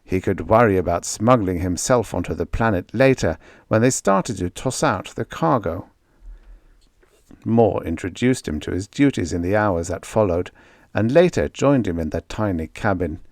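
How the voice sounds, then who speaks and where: A man's voice: deep voice, expressive, normal speed, a little mellow, dry recording, clean studio sound. A man reading a story aloud as a scripted audiobook narration.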